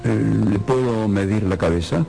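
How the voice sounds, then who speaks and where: An actor playing an eccentric, nosy company doctor, courteous and quietly probing, in a radio drama. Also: male voice, lowish voice, moderate pace, creaky, soft background music. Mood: courteous, curious.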